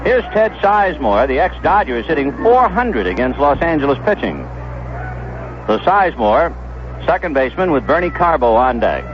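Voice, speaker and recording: An American man calling baseball play-by-play on the radio, conversational and descriptive, filling in stories between pitches. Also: big pitch swings, moderate pace. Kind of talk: play-by-play commentary. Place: ballpark broadcast booth. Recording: dull, noisy vintage 1970s radio broadcast.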